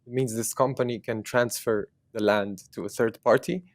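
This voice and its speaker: A man's voice: medium pitch, steady tone, normal speed, dry recording. A man from Jerusalem whose first language is Armenian, speaking earnestly as an interview guest, with many fillers and restarts. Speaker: activist guest.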